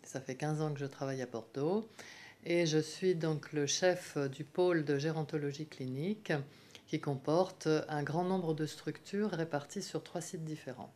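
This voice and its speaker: A middle-aged woman from Paris, a geriatrics professor, explaining her department in a measured way with frequent hesitations. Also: deep voice, darkish tone. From Paris, France. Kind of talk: explanatory interview answers.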